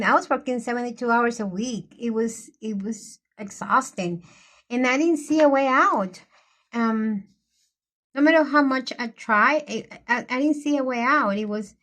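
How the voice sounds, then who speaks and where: Slow, chatty Colombian-accented woman. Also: fairly high pitch, a bit bright. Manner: chatty, meandering, frequent restarts. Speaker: coloring vlogger. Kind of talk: casual chat while coloring.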